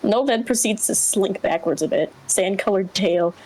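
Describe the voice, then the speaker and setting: A woman's voice: mid-range voice, normal speed, darkish tone, low-quality recording. A woman narrating a scripted story and chatting casually as a reader in a group. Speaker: reader in a group dramatic reading.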